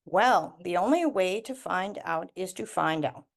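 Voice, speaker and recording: A woman voicing a kindly, fretful old knitter from a storybook, with drawn-out exclamations as if talking to herself. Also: on the low side, expressive, vocal fry, voice pushed down. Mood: resolved.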